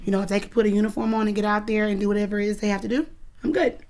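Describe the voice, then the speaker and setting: A woman's voice: medium pitch, little pitch movement, very fast, a little mellow. A woman in her thirties, a dance coach, answering interview questions in an earnest, emphatic and protective way. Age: 30s.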